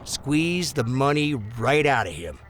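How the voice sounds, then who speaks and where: A man's voice: mid-range voice, sing-song, slow, a bit bright, slight background noise. A man playing a corrupt, bullying policeman in an audio drama, mock-friendly and insinuating as he leans on people. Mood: sly persuasion. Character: corrupt small-town policeman, smooth, bullying blackmailer.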